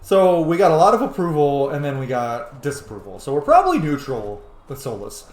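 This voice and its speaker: A man's voice: a little high, big pitch swings, brisk, echoey room. A man giving casual gameplay commentary, musing aloud and explaining as he plays and roleplays. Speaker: host.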